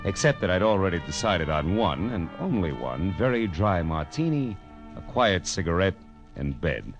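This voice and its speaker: Deep-voiced hard-boiled radio detective. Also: male voice, big pitch swings, normal speed. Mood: wry. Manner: clipped, sardonic delivery. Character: hard-boiled, wisecracking male private detective narrating his case. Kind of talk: 1940s radio noir acting. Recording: old-time radio with loud music.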